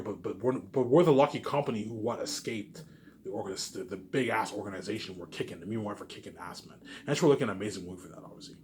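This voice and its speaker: A man's voice: medium pitch, slight background noise. A man talking casually to his viewers in a rambling monologue, boastful and self-assured, repeating himself and tacking trailing asides onto his phrases. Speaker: vlogger.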